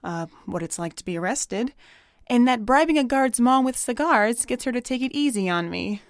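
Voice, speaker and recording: A woman's voice: on the high side, expressive, normal speed, dry recording, studio quality. A woman playing a bold, flippant pony novelist in an audio drama, speaking in a teasing, wry way.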